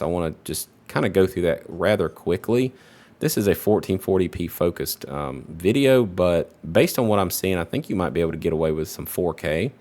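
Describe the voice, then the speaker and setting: A man casually talking through a game benchmark, relaxed and rambling with lots of fillers, his voice a little off while he gets over a virus. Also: low voice. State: recovering from a virus, voice slightly off. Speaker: PC hardware benchmark creator. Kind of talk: casual gameplay benchmark commentary.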